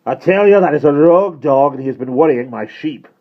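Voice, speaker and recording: Man voicing a furious Welsh farmer, raised pitch. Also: animated, brisk, close to an everyday voice. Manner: furious, thick with rage. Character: angry Welsh farmer, threatening and malicious. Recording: muffled, phone-like narrow band.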